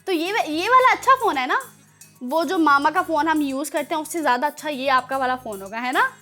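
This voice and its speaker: A woman with a Pakistani accent and a high voice hosts a children's program. She presents in a playful, encouraging way, addressing young children directly. Music plays behind her. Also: expressive, fairly quick, bright, forceful tone. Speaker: children's show host.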